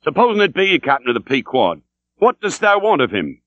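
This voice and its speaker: A man's voice: huge pitch swings, fairly quick, no echo, lo-fi sound. A man voicing a fierce, blustering old male sea captain who barks archaic questions. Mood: gruff demanding. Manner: booming, archaic, interrogating.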